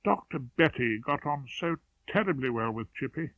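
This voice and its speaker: A man voicing a pleasant, genteel youngish English woman, gentle and gushing, in an audiobook. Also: mid-range voice, expressive, normal speed, no room sound. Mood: sorrowful, confiding. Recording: narrowband, tinny radio-like sound.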